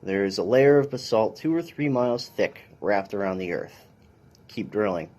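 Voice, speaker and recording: A man's voice: fairly low pitch, expressive, moderate pace, warm tone. A man, a police officer, reading a children's science picture book aloud in an instructional way with brief dry asides.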